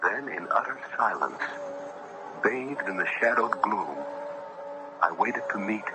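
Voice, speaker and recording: An actor playing an earnest young judge who narrates in a literary, measured, suspenseful way for a gothic radio drama. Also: male voice, lowish voice, animated, background noise. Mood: uneasy, suspenseful. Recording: vintage radio recording with music behind. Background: music.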